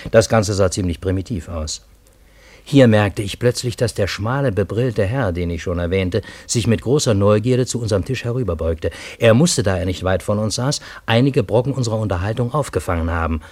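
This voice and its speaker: An actor playing a cultured, courteous bookseller who narrates a German radio play in a measured, literary manner with a husky voice. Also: male voice, fairly low pitch, a little mellow, close to an everyday voice. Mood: reflective narrating. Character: cultured Parisian bookseller, courteous first-person narrator of strange tale.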